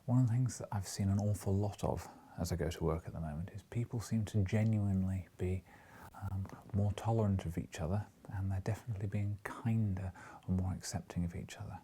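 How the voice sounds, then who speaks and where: A British man with a husky, gravelly voice, reading an audiobook and speaking informally in a gentle, unhurried manner. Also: low voice, steady tone, slight background noise. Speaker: audiobook reader and host. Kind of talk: audiobook narration with informal asides.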